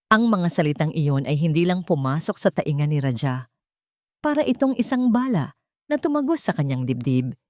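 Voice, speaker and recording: Low-voiced woman narrating dramatically, very dry. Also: warm tone, no echo. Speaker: story narrator. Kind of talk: dramatic scripted narration of a romance story.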